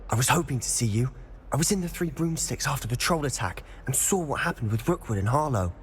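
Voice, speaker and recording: An actor playing a cocky, sly teenage schoolboy wizard in a video game, confident and conspiratorial. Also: male voice, mid-range voice, lively intonation, quick pace, slight background noise, natural voice. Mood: curious, incredulous. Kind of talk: video game cutscene acting.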